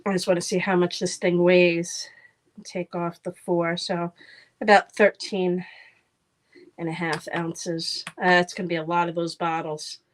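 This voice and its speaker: A woman chatting casually on a live stream while making herbal oil products, rambling with frequent ums, congested from a cold. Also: fairly low pitch. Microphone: streaming camera mic.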